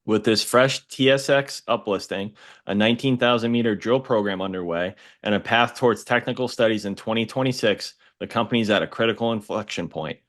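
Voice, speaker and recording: Brief: low male host, smooth scripted intro read. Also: brisk, fairly bright tone. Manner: polished, reads from a script. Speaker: podcast host.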